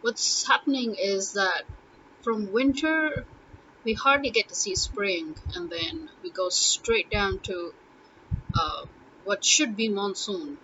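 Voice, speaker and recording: A woman's voice: mid-range voice, slow, sharp tone, dull audio, slight background noise. A woman who teaches Urdu, talking casually and thinking aloud, with many ums and uhs. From Pakistan. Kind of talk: casual spoken blog monologue.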